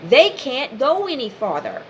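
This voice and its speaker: A woman's voice: sits high, wildly swooping pitch, measured pace, a bit bright, a little hiss or hum. A woman giving an impassioned, informal monologue straight to the listener, speaking in emphatic, repetitive short phrases.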